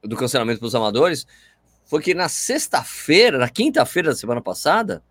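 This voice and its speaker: A young Brazilian man speaks quickly with a lively, swinging pitch and a dry, close sound. He is opinionated and rants in slang while hosting a casual chat. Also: no echo. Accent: Brazilian Portuguese. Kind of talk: casual chat about running.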